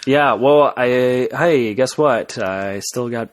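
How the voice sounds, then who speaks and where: A man's voice: mid-range voice, slow. A young man chatting casually and laconically as a podcast co-host. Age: young adult. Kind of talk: casual chat.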